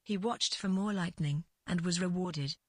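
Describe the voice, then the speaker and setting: A woman with a husky voice narrating literary fiction as a scripted read, in deliberate phrases with pauses. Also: fairly low pitch, no room sound, studio quality.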